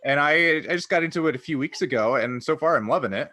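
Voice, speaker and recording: Podcast co-host, male, somewhat high and bright voice, relaxed conversational delivery with dry jokes. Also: quick pace, slight background noise. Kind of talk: casual group chat.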